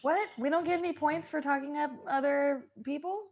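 A woman's voice: high-pitched, fairly even intonation, fairly quick, a little mellow. A young adult woman performing improvised comedy dialogue, with a questioning, indignant manner.

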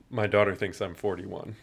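Gravelly, easygoing man in his thirties. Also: on the low side, steady tone, unhurried. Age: 30s. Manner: easygoing, chuckling, rambling. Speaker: podcast co-host. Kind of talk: casual chat.

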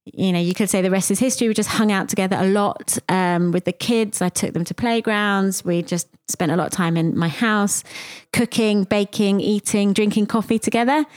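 A woman, hosting a conversational podcast and telling her story in a reflective way with many pauses. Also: mid-range voice, fairly even intonation, dry recording, studio quality. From England. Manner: reflective, with frequent ums and pauses. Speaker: podcast host and cafe founder.

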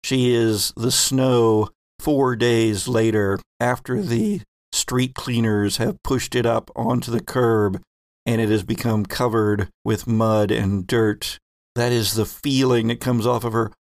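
Slow, gravelly man running a tabletop game. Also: medium pitch, steady tone, no room sound, studio quality. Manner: slow, descriptive narration with rules explanations. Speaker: game master.

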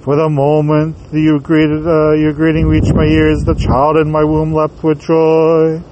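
A man leading a church service as priest, chanting prayers in a slow, drawn-out way and then reciting the gospel more briskly. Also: a little high, monotone, muffled recording, background noise. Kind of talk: chanted liturgy and gospel reading.

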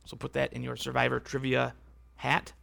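A man hosting a casual solo podcast, rambling and correcting himself as he talks, with a voice that is going hoarse. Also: medium pitch, monotone, moderate pace, fairly bright tone, no room sound. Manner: rambling, self-correcting, conversational. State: losing his voice, hoarse. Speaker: podcast host.

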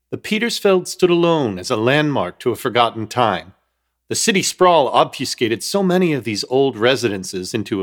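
Wry, animated male radio-play narrator. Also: medium pitch. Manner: wry, ornate, mock-grave storytelling.

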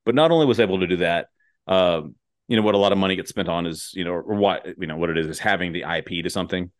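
A man's voice: deep voice, quick pace. A man hosting a casual comedic chat, rambling and jokey, swearing freely as he goes. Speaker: podcast host.

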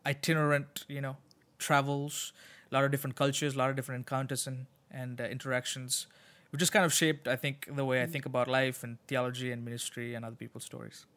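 Man, Sri Lankan-born pastor, podcast guest, mid-pitched, thoughtful, halting restarts. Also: little pitch movement, fairly bright tone. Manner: thoughtful, with frequent restarts and fillers. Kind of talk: conversational interview answers.

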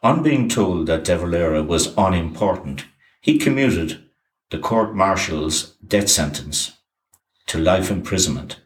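A very deep male voice reads a historical narration in an even, measured way. The recording carries a noticeable roomy echo. He keeps a calm, steady documentary tone throughout. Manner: even, measured reading of dates and names.

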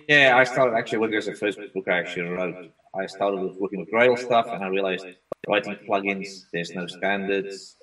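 Deep-voiced man, native Afrikaans speaker. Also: distant mic, rough recording. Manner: relaxed, rambling with frequent restarts. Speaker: software consultant, guest. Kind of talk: remote interview. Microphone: video call.

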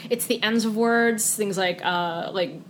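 A woman's voice: mid-range voice, a little slow, a bit bright, slight background noise. A woman in her forties with a Connecticut accent, a guest chatting casually in an interview and laughing often.

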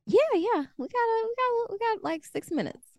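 A woman's voice: very high voice, very animated, moderate pace, a little mellow, dry recording, clean studio sound. A woman with an American accent, speaking as a podcast co-host in an informal, wry way. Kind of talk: casual conversation and scripture reading.

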